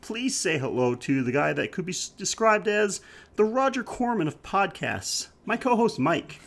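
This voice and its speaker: A man's voice: sits high, very animated, normal speed, gravelly, a bit bright. A man hosting a podcast in a casual, chatty style, joking and self-deprecating, speaking through a head cold. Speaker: podcast host.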